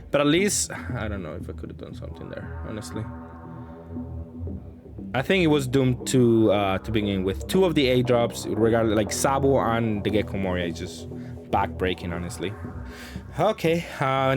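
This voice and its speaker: A man giving casual, off-the-cuff commentary on his own card game matches, thinking aloud and faulting his own plays. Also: lowish voice.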